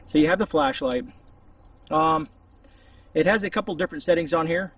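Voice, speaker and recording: A man's voice: fairly high pitch, moderate pace, fairly bright tone, husky. A man hosting a casual, unscripted gear review, talking to camera in a rambling, conversational way outdoors. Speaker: host of a gear review channel. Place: outdoors in a shaded spot. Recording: distant mic with faint outdoor noise.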